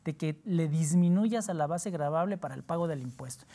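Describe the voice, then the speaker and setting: A woman's voice: low voice, fairly quick, dark tone. A woman with a Mexican accent talking as a panelist on a discussion show, explaining in formal, wordy, roundabout phrases. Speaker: talk show panelist on tax matters.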